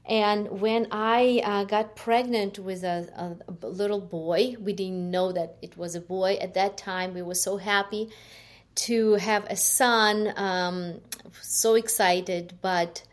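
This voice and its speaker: Woman, Ukrainian accent, middle-aged, unhurried, halting, soft-spoken, interview guest. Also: mid-range voice, steady tone. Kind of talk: personal testimony interview.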